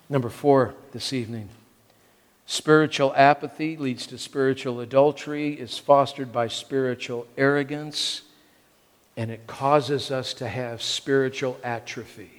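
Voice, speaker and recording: An American man in his sixties preaching a sermon in emphatic short phrases, often repeating them for weight. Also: medium pitch. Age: 60s. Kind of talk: sermon to a congregation. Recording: long reverb of a large hall.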